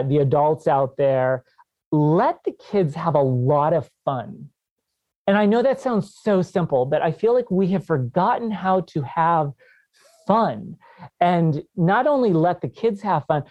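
A man's voice: fairly high pitch, a little mellow, no room sound, dull audio. A man in his fifties, a guest author on a podcast interview, talking with enthusiasm and laughing often. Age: 50s.

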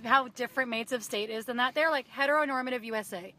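A woman in casual chat, cutting in with quick comments. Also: fairly high pitch, bright, forceful tone. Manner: quick interjections.

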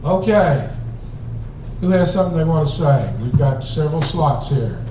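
A man leading a public planning meeting, speaking in a steady, folksy way with some hesitations. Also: fairly high pitch, normal speed, background noise. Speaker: meeting facilitator. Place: large community hall. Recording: echoey hall recorded from far off.

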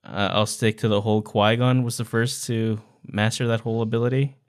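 A man's voice: on the low side, little pitch movement, brisk. A man co-hosting a podcast, chatting casually and informally about books. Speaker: podcast co-host. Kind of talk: casual book discussion.

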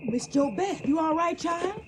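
A woman's voice: extremely high pitch, expressive, a little slow, a little hiss or hum. An actress playing a protective, motherly older household mammy with a Southern US accent in a radio drama. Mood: somber, fearful. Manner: scolding, motherly, folksy.

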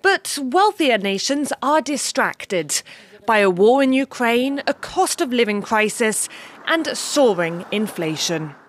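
A woman's voice: a little high, sing-song, slow. A woman reporter reading a scripted news report as a voice-over.

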